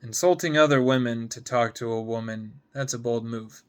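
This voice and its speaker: A man's voice: medium pitch, normal speed, clear, resonant tone, lightly acted. A man voicing a sardonic, guarded young woman. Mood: dry, dismissive. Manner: sardonic, blunt, swearing. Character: hungover sarcastic guarded young woman, aspiring actress.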